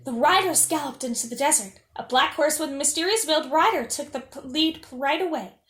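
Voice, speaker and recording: A woman tells a children's story in a high-pitched voice. Her reading is expressive and animated, like a princess character at story time. The room adds noticeable echo. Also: brisk. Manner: animated, acting out dialogue.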